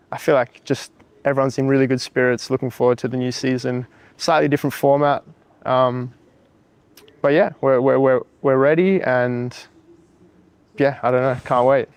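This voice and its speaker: A man, a futsal player, answering interview questions in a measured way with many pauses and ums. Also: mid-range voice, smooth, no echo.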